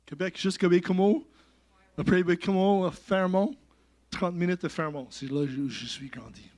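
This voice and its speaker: A man from Labrador, introducing himself at church, halting and correcting himself as he searches for words. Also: high-pitched, darkish tone. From Labrador, Canada. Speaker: guest speaker, former military chaplain.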